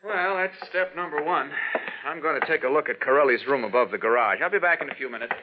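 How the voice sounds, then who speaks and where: Man acting mild-mannered dogged reporter, earnest, higher male pitch, vintage radio drama style, muffled recording. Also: quick pace, natural voice. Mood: businesslike, confiding.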